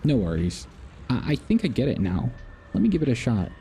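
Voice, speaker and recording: Guy reading lines of an eager plucky girl disciple from a game, soft dark tone, bit of noise. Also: medium pitch, very animated, fairly quick, gravelly. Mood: willing. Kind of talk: game dialogue read aloud.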